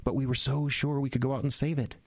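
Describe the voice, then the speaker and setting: An actor playing an earnest, anxious young small-town pastor in an audio drama, halting and self-deprecating. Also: male voice, medium pitch, flat delivery, creaky, no echo. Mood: troubled, earnest.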